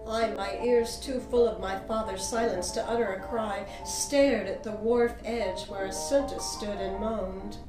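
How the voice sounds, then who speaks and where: An actress plays an earnest, yearning young orphaned woman poet, reciting verse with drawn-out, deliberate phrasing in an audio drama. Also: medium pitch, lightly acted. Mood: grieving, intense. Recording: roomy, echoing, loud music underneath. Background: guitar music.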